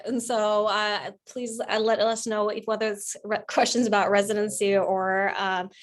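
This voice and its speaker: A woman's voice: fairly high pitch, a bit bright. A woman talking from home on a video call, chatty, rambling and self-deprecating. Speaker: associate program director. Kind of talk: casual video call introduction.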